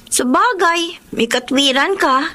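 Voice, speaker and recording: An actress playing a bubbly, curious younger sister in a Filipino radio drama. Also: female voice, high-pitched, takes it slowly, pushed and bright, exaggerated voice. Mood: cheerful, teasing.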